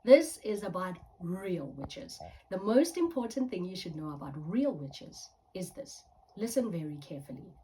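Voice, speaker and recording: A woman's voice: on the low side, very animated, moderate pace, dark tone, rough recording. A woman who writes children's books, reading a story aloud with dramatic storytelling delivery. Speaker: children's author.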